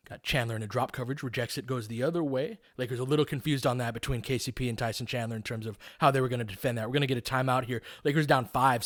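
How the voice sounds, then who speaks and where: A man calling live basketball play-by-play in a casual, conversational, analytical way. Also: mid-range voice. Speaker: play-by-play host.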